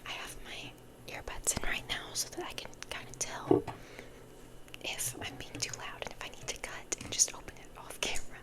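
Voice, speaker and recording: A young woman hosting ASMR, rambling casually in a whisper and repeating words and phrases for effect. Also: moderate pace. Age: young adult. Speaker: ASMR video host.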